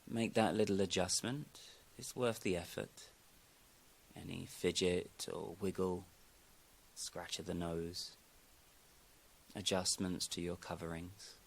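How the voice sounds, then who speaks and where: A man speaking as a yoga nidra teacher, giving calm, unhurried guided meditation instructions with long pauses. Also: deep voice, gravelly, a little hiss or hum, poor audio.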